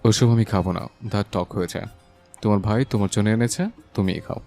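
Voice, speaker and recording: A man acting a calm, inquisitive married older brother in an audio love story. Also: lowish voice, brisk, close to an everyday voice. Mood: grumbling. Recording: music under the voice.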